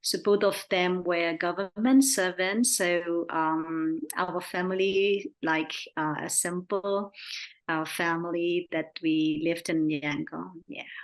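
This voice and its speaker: Nun, middle-aged woman, gentle interview guest. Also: lowish voice, fairly even intonation, slow, rough recording. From Myanmar. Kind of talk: casual interview answers.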